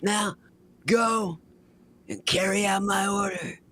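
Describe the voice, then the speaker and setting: Imperious Sith villain, male performer, higher-pitched voice, commanding and self-important, in an amateur space opera reading. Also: steady tone, slow pace, pushed and bright, exaggerated voice. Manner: commanding, pompous. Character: imperious Sith warlord hungry for power and victory.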